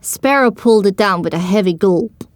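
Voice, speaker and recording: A woman's voice: mid-range voice, moderate pace, no echo. A woman narrating a scripted story in the first person as part of an audio drama.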